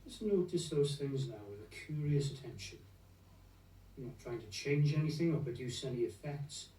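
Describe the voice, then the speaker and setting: A man leading a guided meditation in a gentle, unhurried, soothing manner, leaving long pauses between phrases. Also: mid-range voice, lively intonation. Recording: roomy echo, distant from the mic.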